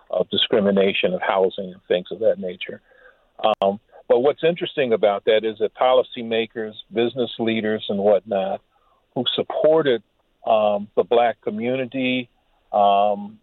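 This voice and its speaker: A man speaking as an interview guest and historian, reflective and halting, with measured, formal wording. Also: mid-range voice, no room sound. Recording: muffled phone-like line.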